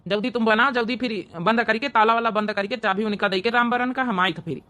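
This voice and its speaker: A busy cartoon farmer husband, voiced by a woman, rattles along quickly in a bright voice. The delivery is practical and hurried, with a rustic Awadhi accent. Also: on the high side, steady tone, voice pushed down, lightly acted. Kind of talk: comedy cartoon character acting.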